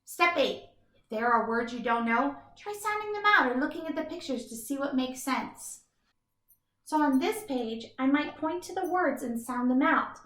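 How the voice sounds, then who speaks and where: A woman doing an expressive children's read-aloud as a teacher, with emphatic, instructive delivery. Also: sits high, moderate pace, echoey room. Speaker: teacher reading a picture book aloud.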